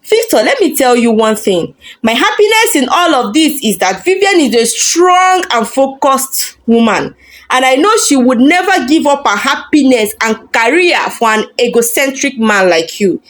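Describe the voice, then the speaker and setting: A woman's voice: sits high, expressive, moderate pace. A woman voicing a blunt male friend in a story, lecturing reproachfully. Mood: scolding. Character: blunt, scolding man, loyal friend to a wronged woman.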